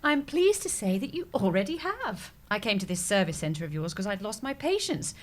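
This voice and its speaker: A woman's voice: mid-range voice, sing-song, moderate pace, close to an everyday voice. An actress with a British accent playing a chatty, newly patient visitor who dotes on her pet, in an audio comedy. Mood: cheerful, chatty.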